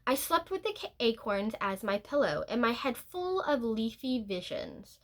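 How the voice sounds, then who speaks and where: A woman reading a picture book aloud as a teacher would, in a deliberate, expressive storytelling manner with drawn-out words and pauses. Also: fairly high pitch. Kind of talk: picture-book read-aloud, scripted narration with brief asides.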